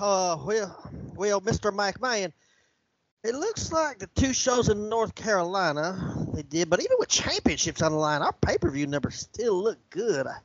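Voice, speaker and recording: A man acts a nervous, deferential underling in a comedic audio play. His voice is high and husky, rising and falling a lot as he stumbles through his words. The recording is slightly dull. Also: dry recording. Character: nervous, placating wrestling office underling.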